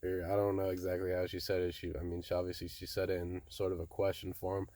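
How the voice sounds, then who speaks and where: A young man casually telling a story to his viewers in a monotone, laid-back way, often saying "bro". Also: sits low, fast, no echo. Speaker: vlogger.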